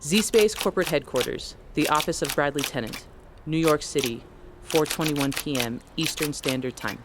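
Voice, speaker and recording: A man's voice: fairly high pitch, moderate pace, noisy background, natural voice. A man playing a formal announcer who reads out scene locations and times for an audio drama. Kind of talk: scripted announcement.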